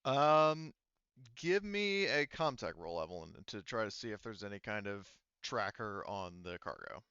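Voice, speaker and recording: A man running a tabletop roleplaying game as game master, explaining casually and often pausing to think aloud. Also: fairly low pitch, very animated, bright, forceful tone, clear, resonant tone, dry recording, lo-fi sound.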